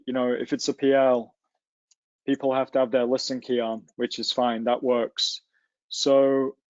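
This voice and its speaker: Male webinar instructor, mid pitch, informal step-by-step tech tutorial, close dry sound with slightly dulled audio. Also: darkish tone, no echo, muffled recording. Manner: informal, explains step by step with hands-on examples. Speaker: instructor in a technical software tutorial. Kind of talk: casual live webinar walkthrough. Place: livestreamed online session.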